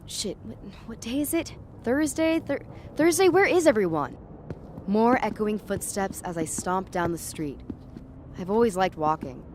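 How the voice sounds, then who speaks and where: A woman's voice: fairly low pitch, a little mellow. A woman playing an uneasy, tripping young woman walking home at night, narrating in the first person in a dramatic audio story. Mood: confused, anxious. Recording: clear street and traffic ambience behind.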